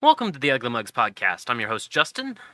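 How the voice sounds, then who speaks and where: Bright, lively sniffly podcast host. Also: male voice, medium pitch, fast talker, dry recording, studio quality, faint music behind. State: sniffly, congested. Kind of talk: casual chat.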